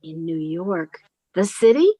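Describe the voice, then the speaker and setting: A woman's voice: fairly low pitch, lively intonation, a little slow, mellow, dry recording, low-quality recording. A woman playing a sheltered, romantic country spinster of thirty-five in an old-time radio melodrama, earnest and girlish. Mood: wistful.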